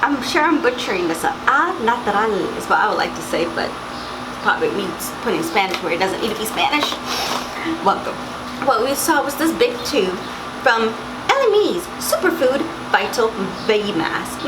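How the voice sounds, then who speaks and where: A young woman with a slightly raspy morning voice, chatting casually through a beauty box unboxing and reading product labels aloud. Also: fairly high pitch, sing-song, normal speed, background noise. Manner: chatty, reads labels aloud, frequent mm-hmms. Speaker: beauty vlogger.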